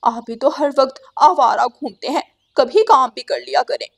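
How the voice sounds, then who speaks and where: A woman puts on a crafty crow wife for a children's cartoon. Her voice is high and insistent, with a complaining edge. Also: moderate pace, vocal fry. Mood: aggrieved.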